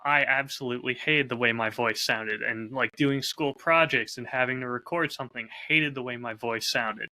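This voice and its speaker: A man's voice: medium pitch, moderate pace, few pauses, bright, forceful tone, low-quality recording. A man chatting casually and candidly as a podcast host, openly self-critical about his creative work. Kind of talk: casual chat.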